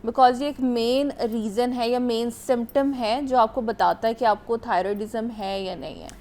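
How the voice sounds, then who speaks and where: A woman doctor with a Pakistani Urdu accent speaks on a studio health show. She explains step by step and mixes English words into her speech with plenty of fillers, and her voice sits slightly high. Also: fairly even intonation, a little slow, darkish tone.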